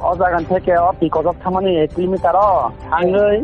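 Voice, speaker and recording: A man talking on a phone call in a halting way, repeating words and phrases. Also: sits high, steady tone. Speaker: caller. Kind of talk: phone conversation. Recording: muffled phone call recording, faint music behind.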